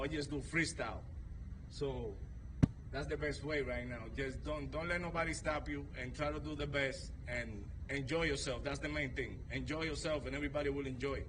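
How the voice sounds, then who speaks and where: A man with a Dominican Spanish accent talks in a halting, soft-spoken way, restarting his sentences. His voice sits a little high. A steady hiss of noise runs under this live stream recording. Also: distant mic. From New York. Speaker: guest rapper. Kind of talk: casual interview talk.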